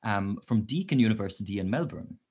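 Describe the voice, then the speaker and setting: A man's voice: fairly low pitch, expressive, a little mellow, husky. A man hosting a singing podcast, introducing his guest in a casual, hesitant way full of "um"s. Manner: hesitant, lots of "um", quick laughs. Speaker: podcast host.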